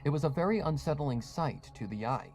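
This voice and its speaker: A man's voice: on the high side, lively intonation, a little fast, darkish tone, soft background music. A man reading scripted horror fiction as a storytelling narrator.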